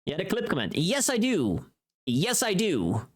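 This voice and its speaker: A woman with a low voice that swings widely in pitch. She chats casually as a livestream host, playfully teasing her viewers and swearing freely, with expressive bursts of mock outrage. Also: moderate pace, slightly rough.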